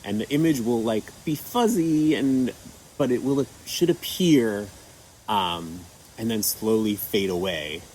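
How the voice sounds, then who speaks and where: A man gently walking children through an activity with patient, step-by-step instructions and a few fillers. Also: lowish voice, expressive, a little slow, a little hiss or hum, low-quality recording. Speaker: children's storytime presenter. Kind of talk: guiding kids through an activity.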